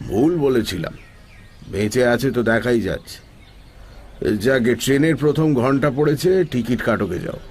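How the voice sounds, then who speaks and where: An actor playing a placid, sly elderly village holy man in an audio story, chatting in an unhurried, nonchalant way. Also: mid-range voice, darkish tone, a little hiss or hum, lightly acted. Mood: dismissive, calm. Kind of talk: Bengali audio story acting.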